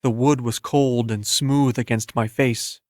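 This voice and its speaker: A man's voice: mid-range voice, fairly even intonation, unhurried, no room sound. Male narrator for literary first-person audiobook fiction, recorded dry and close.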